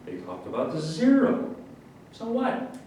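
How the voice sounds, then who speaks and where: A man in a staged reading playing a skeptical, formal gentleman being briefed on secret research. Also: wildly swooping pitch, measured pace. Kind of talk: theatrical staged reading of a satirical play. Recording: very echoey room, distant mic, faint rumbling noise.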